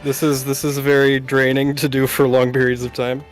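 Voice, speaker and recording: A man's voice: medium pitch, monotone, normal speed, faint music behind. A man co-hosting a game playthrough, talking casually. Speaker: co-host, guest voice actor. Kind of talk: casual chat.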